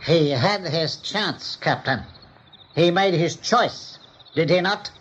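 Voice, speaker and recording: Ruthless high-voiced rabbit general. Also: male voice, fairly bright tone, husky, exaggerated voice. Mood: cold, superior. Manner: commanding, menacing, deliberate. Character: ruthless, proud rabbit general, obsessed with vengeance. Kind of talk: 1980s radio play acting. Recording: dull vintage radio recording.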